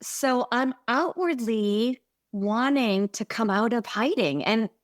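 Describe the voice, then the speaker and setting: A woman's voice: fairly high pitch, expressive, slow, no echo. Midwestern woman co-host, reflective and earnest, dry close mic. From American Midwest. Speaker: podcast co-host. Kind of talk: conversational podcast chat.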